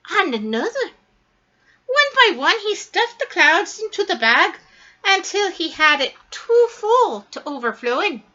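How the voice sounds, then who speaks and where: Female storybook reader, bright and very lively, dramatic emphasis, slightly dull recording. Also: very high-pitched. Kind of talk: expressive read-aloud of a children's story.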